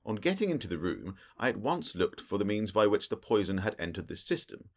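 A man's voice: fairly low pitch, sing-song, brisk. A man voicing a keen, self-assured detective in an audiobook, speaking in a precise, didactic and dry manner. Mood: explaining. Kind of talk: audiobook character voice.

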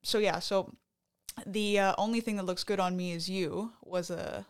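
A woman from Canada co-hosting a podcast, talking excitedly in casual chat and often interrupting herself. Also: medium pitch, normal speed, smooth. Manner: excited, chatty, self-interrupting. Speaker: podcast co-host.

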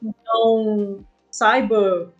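A woman's voice: medium pitch, little pitch movement, extremely slow, highs cut off. Brazilian woman chatting over faint music. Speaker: interview guest, comic artist and game writer. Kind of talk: casual interview conversation. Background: background music.

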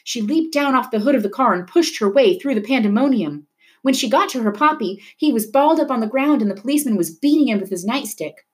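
A woman reading a novel aloud in an expressive storytelling style, dramatic at tense moments. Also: a little high, quick pace. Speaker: reader of a children's novel. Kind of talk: expressive read-aloud narration of a book.

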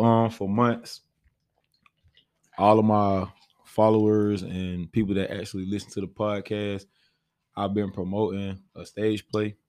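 A man's voice: lowish voice, darkish tone, no echo, studio quality. An American man hosting a podcast, chatting casually and informally with slang. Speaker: podcast host.

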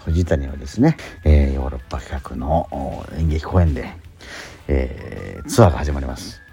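Very deep-voiced animated middle-aged man. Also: moderate pace, creaky, faint music behind. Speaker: radio host and theatre actor. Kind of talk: casual radio talk. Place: theatre auditorium during rehearsal.

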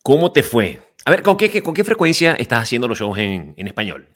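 Playful man, lively pitch. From Venezuela. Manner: playful, quick jokes and asides. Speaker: comedian and co-host. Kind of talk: casual podcast chat.

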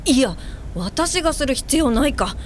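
A woman's voice: high-pitched, big pitch swings, measured pace, slight background noise. A woman acting in an audio drama as an earnest, self-reflective guide helper, who also narrates in the first person. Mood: pensive, regretful. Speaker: lead actor and narrator.

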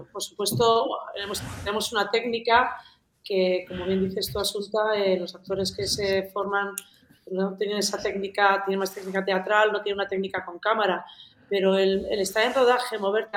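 A woman's voice: medium pitch, roomy sound, a little hiss or hum, rough recording. A woman who works as an assistant director, talking emphatically and insistently in a casual panel chat.

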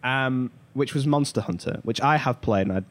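A man's voice: medium pitch, moderate pace. A man hosting a podcast, talking casually and informally, telling stories with emphatic asides. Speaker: podcast host. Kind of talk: casual pop culture discussion.

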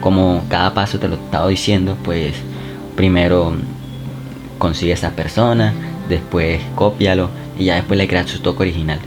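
Male podcast host, low voice, talks straight to the listener, music underneath. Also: steady tone, normal speed. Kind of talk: self-help podcast monologue. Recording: music bed behind the voice.

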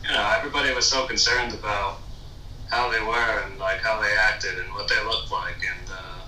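Guy talking slow and thoughtful, kinda far off mic, low-ish voice, roomy sound. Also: fairly even intonation, sharp tone, slight background noise. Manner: measured, thoughtful, hesitant with fillers. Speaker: guest. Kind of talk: casual conversation.

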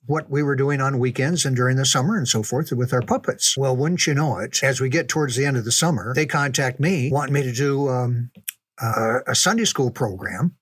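An older man reminiscing casually in a folksy, unhurried, conversational way, as a storyteller. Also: mid-range voice, fairly bright tone, slightly rough, no echo. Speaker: storyteller recalling ministry memories.

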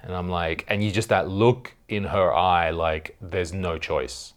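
A man with a very deep voice hosts a loose, joking conversation. He swears freely and spins mock-exasperated stories. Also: measured pace. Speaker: comedian host. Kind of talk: casual chat. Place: hotel room.

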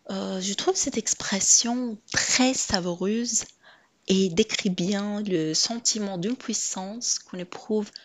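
A woman giving a prepared personal message that sounds read out. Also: mid-range voice. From Canada.

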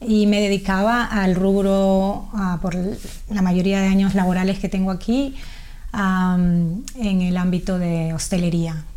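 A woman's voice: medium pitch, fairly even intonation, a little slow, mellow. Chatty Peruvian woman guest. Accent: Peruvian Spanish. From Peru. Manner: chatty, with frequent fillers and restarts. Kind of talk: casual interview chat.

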